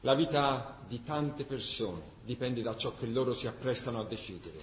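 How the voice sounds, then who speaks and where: An actor playing a grave, formal chronicler narrating wartime resistance. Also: male voice, medium pitch, expressive, moderate pace, close to an everyday voice. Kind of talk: scripted theatrical chronicle narration. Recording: echoey room, faint background noise.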